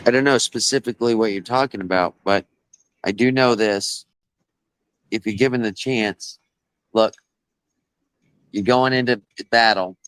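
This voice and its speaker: Deep, bright-toned blunt man. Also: a little slow, pauses often, clean tone, dry recording, rough recording. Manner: blunt, emphatic opinions. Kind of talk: casual conversation.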